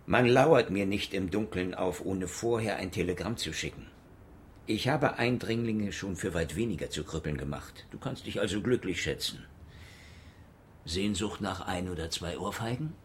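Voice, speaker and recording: An actor playing a hard-drinking, sardonic male private detective in a radio drama, gruff, mocking and deadpan. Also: low voice, moderate pace, a little hiss or hum. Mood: mocking menace.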